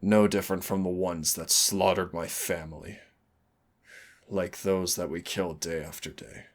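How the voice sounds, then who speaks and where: A man playing a suave, brooding immortal demon in an intimate roleplay audio drama, speaking with measured, solemn and darkly affectionate drama. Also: sits low, steady tone. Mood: sorrowful, earnest. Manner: teasing endearments, measured, solemn dramatic delivery. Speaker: demon hunter's mentor and partner.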